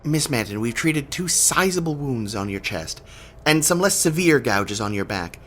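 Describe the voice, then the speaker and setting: A man's voice: medium pitch, very animated, normal speed, a bit bright, slight background noise. An actor playing a formal, puzzled, politely inquisitive male hospital nurse in a radio drama. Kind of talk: radio horror drama acting.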